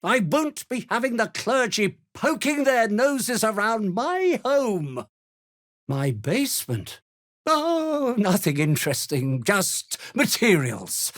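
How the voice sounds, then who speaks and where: A high, very animated British merchant for a video game, pompous and self-serving. He is haughty and easily affronted, with a nervous falter under pressure, in a dry close recording. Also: takes it slowly, dry recording, full character voice. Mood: flustered, evasive. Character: pompous, jittery, self-serving male merchant and house owner. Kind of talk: video game dramatic acting.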